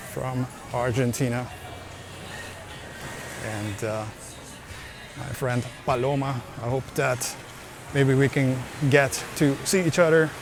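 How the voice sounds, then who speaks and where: A man narrating a casual travel vlog as he strolls along a beach at sunset, talking in an easygoing way and repeating words as he goes. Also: mid-range voice. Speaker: travel vlogger. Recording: outdoor beach recording with surf noise. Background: waves and surf.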